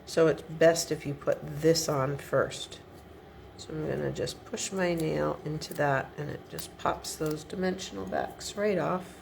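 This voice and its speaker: A woman's voice: low-pitched, steady tone, unhurried, vocal fry, a little mellow, a little hiss or hum. A middle-aged woman giving a chatty step-by-step card-making tutorial at her home craft desk, sniffly from a cold. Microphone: overhead camera above the desk.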